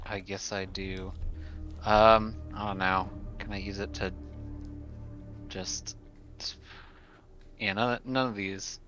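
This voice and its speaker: A man's voice: lowish voice, fairly even intonation, takes it slowly, pauses often, pushed and bright, highs cut off, soft background music. A man chatting casually as a player in a tabletop roleplaying game, slipping into sweary in-character talk.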